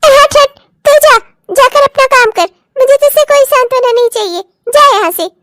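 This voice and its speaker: A woman's voice: extremely high pitch, steady tone, fairly quick, noisy background. A woman playing a harsh, superstitious mother-in-law in a melodramatic family story, scolding and dramatic. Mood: angry, accusing. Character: harsh, superstitious mother-in-law, doting on her own daughter.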